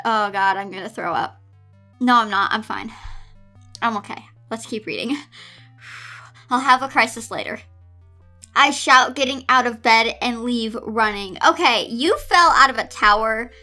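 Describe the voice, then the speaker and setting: A woman's voice: a little high, measured pace, pushed and bright, soft background music. A woman hosting a casual story reading, giving dramatic, exasperated and sarcastic running commentary. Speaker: host reading fan fiction aloud.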